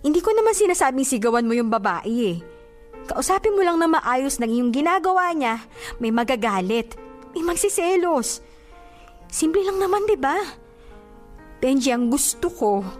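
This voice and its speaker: A woman's voice: high-pitched, expressive, normal speed, long pauses, soft background music. An actress playing a hurt, jealous young girlfriend in a radio drama, sulky, exasperated and insistent in her reproaches. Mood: hurt, pleading.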